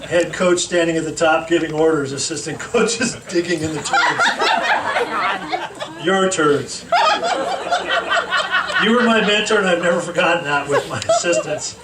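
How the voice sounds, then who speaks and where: A man reading a comedic roast speech aloud to a gathering, teasing with deliberate pauses. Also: a little high. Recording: echoey room, distant mic, noisy background.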